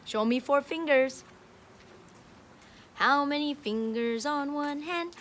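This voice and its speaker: Female early-years teacher, high-pitched and unhurried, sing-song delivery for a children's read-aloud and counting lesson. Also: fairly bright tone, slight background noise.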